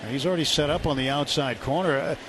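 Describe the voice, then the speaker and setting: Male baseball analyst over loud background noise. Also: a little high, fast. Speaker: baseball radio commentator, analyst. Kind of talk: live sports analysis, conversational. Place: ballpark broadcast booth. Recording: live stadium broadcast, loud background noise.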